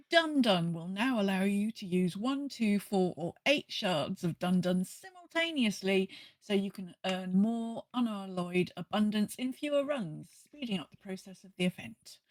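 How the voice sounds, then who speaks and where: A woman talks as a gaming podcast co-host in a very dry, echo-free recording. Her pitch is average for a woman, and she explains things in a chatty, informal way. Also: lively intonation, moderate pace. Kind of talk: casual gaming podcast chat.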